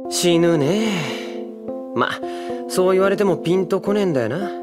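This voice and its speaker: A man playing a brash, money-hungry young undead man in an anime audio drama, talking in rough, bossy slang. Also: high-pitched, expressive, moderate pace, music in the background. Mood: mocking.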